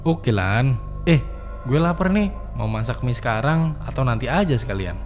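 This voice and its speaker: Seorang pria mengisi suara pendaki muda yang suka bercanda dan mengejek temannya dalam cerita horor animasi. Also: male voice, medium pitch, expressive, normal speed, soft background music, lightly acted. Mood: teasing. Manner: mocking, joking. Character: teasing young male hiker friend. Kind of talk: animated horror story voice acting.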